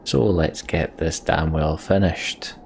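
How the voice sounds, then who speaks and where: A man's voice: rumbling low, takes it slowly, vocal fry, darkish tone, slight background noise. A man getting over a cold, doing casual let's play commentary and reading game narration aloud.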